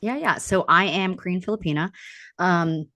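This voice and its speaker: Low-voiced young American woman, casual and candid. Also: normal speed, pushed and bright, no room sound, studio quality. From upstate New York, United States. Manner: informal, candid, frequent use of "like". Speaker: podcast guest. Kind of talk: casual interview chat.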